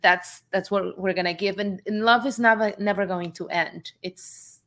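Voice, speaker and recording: A woman hosting a live interview, affirming her guest warmly and laughing easily. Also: medium pitch, fairly even intonation, moderate pace. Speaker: host.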